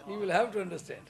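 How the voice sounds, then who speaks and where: High-pitched Pakistani man preaching emphatically. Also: gravelly. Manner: emphatic, rising to loud stresses, chuckles between points. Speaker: religious preacher. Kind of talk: English sermon to a congregation.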